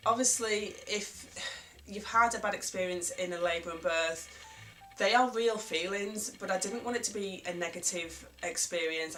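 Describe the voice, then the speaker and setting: A young woman with a croaky voice from a cold, talking gently and reflectively to camera in a casual vlog. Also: medium pitch, low-quality recording. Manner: gentle, reflective, repeats herself. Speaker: parenting vlogger.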